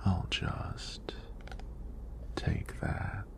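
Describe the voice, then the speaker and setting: A man playing a friendly, teasing EMT in a soft-spoken ASMR roleplay, with a deep, breathy, gravelly voice and an unhurried, reassuring manner. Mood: calm, attentive. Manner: unhurried, reassuring, lightly playful. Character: friendly, teasing young male EMT doing a screening.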